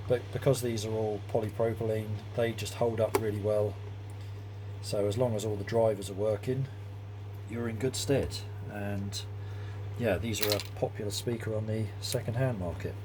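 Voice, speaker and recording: Casual man, noisy recording. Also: fairly low pitch. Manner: matter-of-fact, informal, explanatory. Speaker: host, audio repair hobbyist or technician. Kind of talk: casual hands-on workshop commentary.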